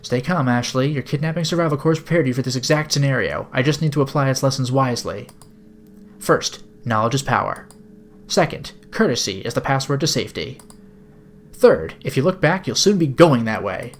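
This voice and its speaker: A man's voice: mid-range voice, animated, fairly quick, close to an everyday voice. A man voicing a drowsy, sarcastic young woman held captive in a video game, in a wry, exasperated inner monologue. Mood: sarcastic, resolute. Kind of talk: video game dialogue read-aloud.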